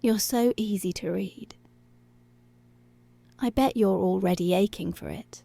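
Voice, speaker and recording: A commanding policewoman toys with her captive, acted out by a woman, in a soft, dark voice with plenty of creak. She taunts seductively and takes her time, sliding into whispers, in an intimate, very dry close-up ASMR style. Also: mid-range voice, unhurried, no echo. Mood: taunting, seductive. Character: dominant, teasing, menacing policewoman toying with her captive. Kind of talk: intimate ASMR roleplay, slow and breathy.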